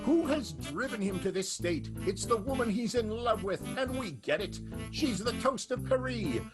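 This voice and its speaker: A man's voice: high voice, expressive, moderate pace, over music. A man narrating as the playful, theatrical announcer of an improvised old-time radio soap opera. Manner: melodramatic, playful, tongue-in-cheek.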